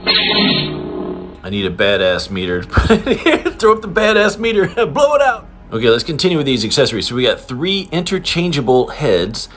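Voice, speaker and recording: A man chatting casually through a toy unboxing and review, with an excitable, giggly fan's enthusiasm. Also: on the high side, normal speed, faint music behind. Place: indoors in an apartment.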